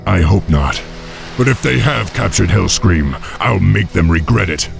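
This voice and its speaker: An actor playing a proud young orc war chief in a fantasy game, gruff and commanding. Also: male voice, low voice, lively intonation, measured pace, faint music behind, full character voice. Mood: stern, concerned. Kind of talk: dramatic fantasy game voice acting.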